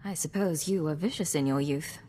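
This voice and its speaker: Woman as a cool, lowish-voiced female spy. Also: mellow, big-room sound, a little hiss or hum, close to an everyday voice. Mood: amused, measured. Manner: measured, cool, dry. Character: composed, dry-witted female imperial secret agent. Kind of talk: video game voice acting.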